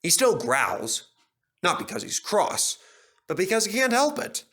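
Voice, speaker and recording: Animated male storybook narrator, bright voice. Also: high voice, measured pace. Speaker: narrator reading a children's story. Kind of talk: expressive scripted storybook narration.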